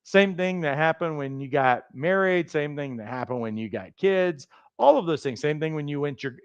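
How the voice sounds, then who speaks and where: A man with a raspy voice hosting a livestream, giving a fast, emphatic, motivational pitch for coach training. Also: dry recording, dull audio. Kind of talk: energetic sales pitch on a livestream.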